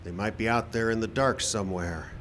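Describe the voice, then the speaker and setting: A man's voice: fairly low pitch, brisk, a bit bright, lightly acted. A man playing an uneasy, folksy frontier townsman in a radio western, hesitant as he starts. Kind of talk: old-time radio western acting. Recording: live stage with background noise.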